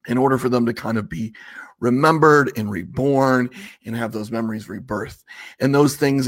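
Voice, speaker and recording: A man with a slightly raspy voice hosting a casual livestream, rambling conversationally and asking viewers questions. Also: mid-range voice. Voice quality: a little raspy. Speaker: livestream host.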